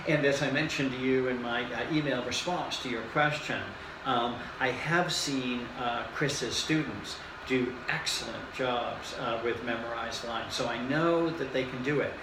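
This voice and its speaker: A man's voice: medium pitch, unhurried, echoey room, noisy background. A man interviewing a teacher, speaking hesitantly with many fillers and often murmuring agreement. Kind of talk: reflective interview.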